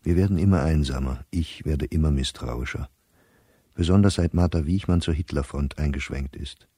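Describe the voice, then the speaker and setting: A middle-aged professor worn down and bitter, reading his diary in a radio play. His voice is very low and mellow, set close and dry. He speaks in exact, clipped entries. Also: moderate pace, breathy edge, no room sound. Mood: resigned, gloomy. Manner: precise, clipped telegraphic diary phrasing.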